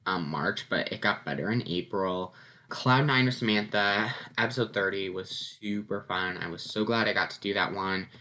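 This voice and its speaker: A man's voice: lowish voice, sharp tone. A teenage boy hosting his own podcast, chatting casually and upbeat with lots of ums and little laughs, sounding a bit congested and sniffly. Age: teens. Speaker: podcast host. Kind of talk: casual solo podcast recap.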